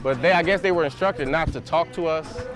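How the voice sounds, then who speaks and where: A man's voice: fairly high pitch, a little fast, over music. A man, a protester, speaking in a plain, reflective way in an interview outdoors on a city street. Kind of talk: interview testimony.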